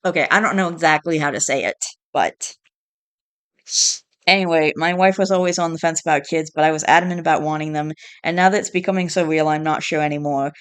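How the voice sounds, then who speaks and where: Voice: mid-range voice, fairly bright tone, smooth. A host with a stuffed-up nasal voice who reads online posts aloud in a casual, rambling way, with sighs and self-corrections along the way. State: congested, stuffed-up nose.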